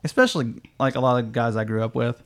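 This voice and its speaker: A man's voice: medium pitch, fast. A man from Indiana co-hosting a casual movie podcast, laid-back and joking, with an Indiana accent. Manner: laid-back, joking, self-conscious about his speech. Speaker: podcast co-host. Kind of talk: casual chat.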